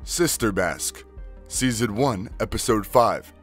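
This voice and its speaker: A man's voice: on the low side, slow pace, loud music behind the voice. A man reading a scripted recap as the narrator of a video.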